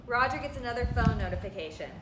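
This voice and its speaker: A woman's voice: a little high, expressive, fast talker, a loud crowd. A woman reading scripted stage directions as narrator at a play table read. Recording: roomy, clearly noisy recording.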